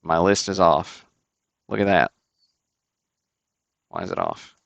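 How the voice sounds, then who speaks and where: A deep-voiced man hosts a card break stream from his desk, chatting casually and thinking aloud as he works. His voice has a rough, gravelly texture. The sound is dry and close to the mic. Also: sing-song, takes it slowly, a bit bright, no room sound, lo-fi sound. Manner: chatty, thinking aloud with many fillers and restarts. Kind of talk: casual livestream commentary.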